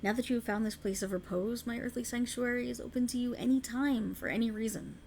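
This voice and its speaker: A woman's voice: on the high side, fast talker, vocal fry, a little mellow, slight background noise. A woman voicing an ancient, alluring faerie in a scripted ASMR roleplay, soothing and coaxing with formal archaic phrasing, tender but sinisterly possessive. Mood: tender, admiring.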